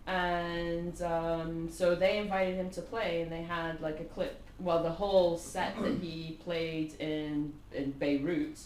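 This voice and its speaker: A woman in her thirties from Kuwait, a native Arabic speaker, talks as a podcast guest in a lowish voice. She tells stories animatedly, with filler words and frequent laughter. The sound is roomy and echoey, with a faint hiss behind. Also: slow, few pauses. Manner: animated storyteller, laughs often, many fillers. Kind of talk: casual conversation. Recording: echoey room with faint noise.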